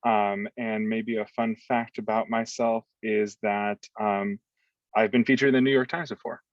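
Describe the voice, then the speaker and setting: A man's voice: lowish voice, level tone, moderate pace, dry recording. A young man from Los Angeles introducing himself casually as a student panelist, on dull, dry video-call audio. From Los Angeles, born in Honduras. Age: young adult.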